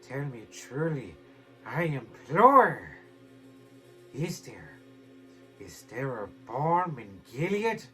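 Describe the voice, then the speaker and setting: A man's voice: mid-range voice, big pitch swings, drawn-out words, pauses often, a bit bright, slightly rough, soft background music, exaggerated voice. A man putting on a character voice as a tormented, desperate narrator, reciting a gothic horror poem with theatrical intensity. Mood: haunted, brooding. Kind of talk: dramatic poetry recitation in impersonated character voice.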